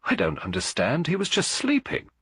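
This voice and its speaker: A man voicing an anguished young father in a mystery story, with a halting, distressed delivery. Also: a little high, huge pitch swings, a bit bright, breathy edge, dry recording, clean studio sound, pitched above the performer's own voice, heavily acted. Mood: grief-stricken.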